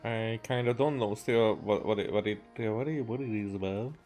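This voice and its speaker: Low-voiced male game streamer. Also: darkish tone. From Hungary. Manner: halting, stumbling when reading aloud. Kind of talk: casual gameplay commentary, reading game text aloud. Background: game music.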